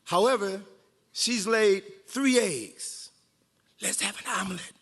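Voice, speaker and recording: A man's voice: sits high, takes it slowly, a bit bright, slightly rough, big-room sound, exaggerated voice. A man voicing a scheming ship's captain and his shipmates in a story read aloud, in an emphatic, joking way. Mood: scheming, jokey. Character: scheming slave ship captain and shipmates, comically folksy.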